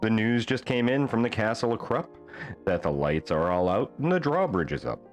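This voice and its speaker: A man reading a rhyming children's bedtime book aloud as an expressive storyteller. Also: medium pitch, normal speed, faint music behind.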